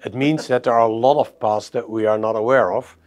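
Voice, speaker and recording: Middle aged guy doing a techy product demo, normal mid pitch. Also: steady tone, fairly quick, a little mellow. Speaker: audio company presenter. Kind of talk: technical product presentation. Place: exhibition demo room, indoors.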